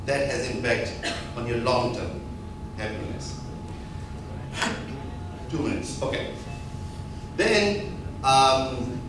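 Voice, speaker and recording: A middle-aged man giving an animated motivational talk at a medical seminar, speaking into a handheld microphone in a large auditorium. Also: on the high side, distant mic. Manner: animated, emphatic, counting off points. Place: large auditorium with seated audience. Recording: echoey hall, filmed from afar with noise.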